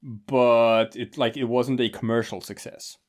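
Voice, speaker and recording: A man's voice: medium pitch, fairly even intonation, measured pace. A man co-hosting a casual movie commentary, rambling with lots of fillers and restarts. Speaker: podcast co-host.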